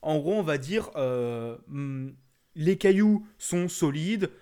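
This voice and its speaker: A man's voice: a little high, lively intonation, slow pace, warm tone, smooth. A man co-hosting a casual video game podcast, joking along in crude slang. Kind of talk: casual gaming chat.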